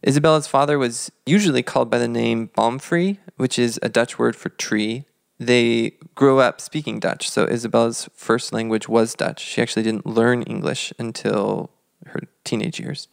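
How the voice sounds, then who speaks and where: A man in his thirties co-hosting a podcast, telling history in a measured, reflective, conversational way. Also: medium pitch, steady tone, gravelly, no room sound, studio quality. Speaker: podcast co-host.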